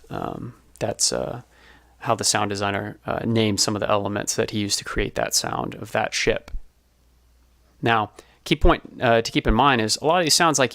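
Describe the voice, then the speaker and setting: Low-pitched man, casual sound design explainer. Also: fairly bright tone, no echo. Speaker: sound designer presenting a behind-the-scenes video. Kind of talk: informal explainer narration.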